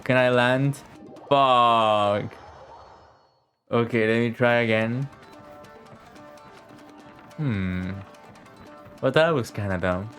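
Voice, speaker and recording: A man's voice: mid-range voice, extremely slow, long pauses, a bit bright. A man giving casual gaming commentary as he streams a video game. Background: video game audio and music.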